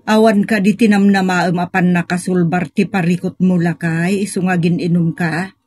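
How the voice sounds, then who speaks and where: A woman's voice: lowish voice, fairly even intonation, moderate pace, a little mellow, slightly rough, no room sound. A woman playing a patient, devout older wife in an audio drama, earnest and coaxing, with an Ilocano accent. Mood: earnest, reassuring. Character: patient, devout older wife counseling her troubled husband. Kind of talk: Filipino audio drama acting.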